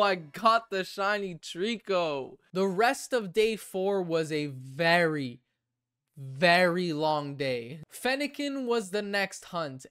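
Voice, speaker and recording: A young man narrating his video game hunts from a home gaming room, breaking into excited shouts and laughter at lucky finds. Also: high voice. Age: young adult. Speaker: gaming YouTuber. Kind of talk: game commentary and recap narration.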